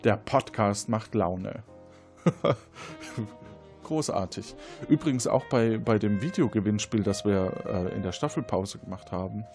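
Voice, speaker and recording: A man's voice: fairly low pitch, animated, measured pace, darkish tone. Man hosting over guitar music. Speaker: podcast host. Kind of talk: casual chat, reading out listener reviews.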